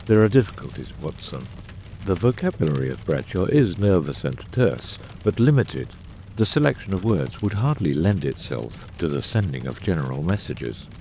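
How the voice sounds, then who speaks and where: A man playing a brilliant, exacting, eccentric detective in a dramatised audiobook, speaking with precise, erudite phrasing and deliberate pauses. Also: low voice, big pitch swings, vocal fry, dark tone, slight background noise, heavily acted. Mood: analytical. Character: brilliant, eccentric middle-aged male detective, exacting and theatrical.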